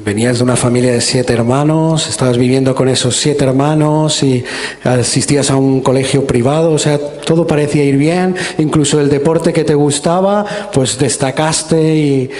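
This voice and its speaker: A man hosting a church interview who asks gentle, encouraging questions and sums up what his guest has said. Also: mid-range voice, steady tone, normal speed.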